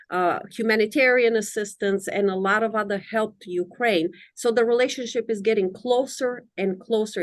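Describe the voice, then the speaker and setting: Woman from Romania, middle-aged, immigration lawyer guest, measured formal speech, mid pitch. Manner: measured, articulate, formal phrasing. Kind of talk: interview answers.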